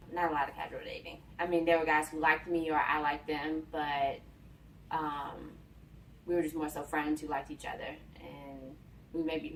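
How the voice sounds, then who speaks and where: Low, even-voiced American woman, echoey room. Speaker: interview guest. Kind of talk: casual personal storytelling. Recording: echoey room, distant mic, faint noise.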